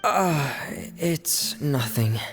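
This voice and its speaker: A man voicing a shy, guarded teenage boy in an anime fantasy game, hesitant and halting. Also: a little high, lively intonation, a bit bright, soft background music, exaggerated voice. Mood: evasive.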